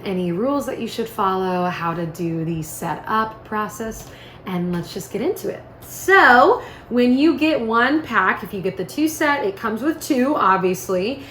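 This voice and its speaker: A woman's voice: medium pitch, fairly bright tone. Upbeat woman in an echoey room. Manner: upbeat, informal, chatty. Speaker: product presenter. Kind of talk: casual product demonstration. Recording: echoey room with faint noise.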